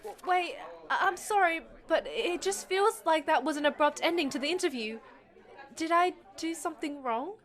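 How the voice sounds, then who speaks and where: Woman acting a high-voiced, spirited half-fox-spirit young woman. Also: animated, moderate pace, fairly bright tone. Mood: flustered. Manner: defiant, indignant, argumentative. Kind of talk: audio drama dialogue.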